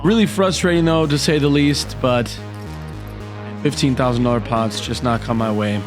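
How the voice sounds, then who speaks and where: A man narrating his poker hands in a voice-over, with hyped, dramatic play-by-play and a slightly hoarse voice from a cold. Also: mid-range voice, moderate pace. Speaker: poker vlogger. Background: background music.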